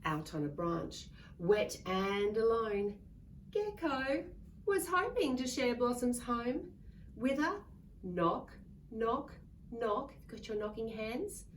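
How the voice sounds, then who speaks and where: Slow, high-voiced woman reading to children. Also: animated. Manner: sing-song storytelling, coaxing children to join in. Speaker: children's author reading her picture book aloud. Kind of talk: interactive picture-book read-aloud for young children. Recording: distant mic with faint background noise.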